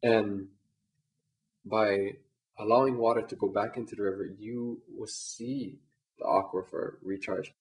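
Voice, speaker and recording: A man from Maui, arguing his point in an animated, persuasive way, full of "you know". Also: fairly low pitch, unhurried, poor audio. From Maui, Hawaii. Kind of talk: casual interview talk.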